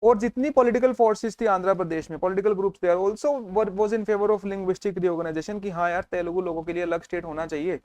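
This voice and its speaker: High-voiced male teacher, storytelling lecture. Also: fairly even intonation, brisk, hardly any pauses, a little mellow, no room sound. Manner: storytelling, mixes Hindi and English, checks in with students. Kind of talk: exam revision lecture.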